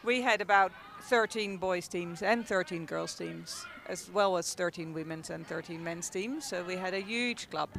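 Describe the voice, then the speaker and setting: A woman's voice: on the low side, normal speed, gravelly, hardly any pauses, a bit bright, slight background noise. A young Dutch woman with a Dutch accent, chatting casually as a guest commentator at a hockey game, with lots of ums and laughs. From Netherlands. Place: outdoors at a field.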